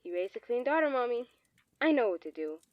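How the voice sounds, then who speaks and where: A woman's voice: fairly high pitch, sing-song, brisk, dry recording. A woman playing a sweet, pleading fifteen-year-old daughter over a phone in an audio drama. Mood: sweet, eager. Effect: speakerphone filter. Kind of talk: naturalistic audio drama acting. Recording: dull, muffled phone call.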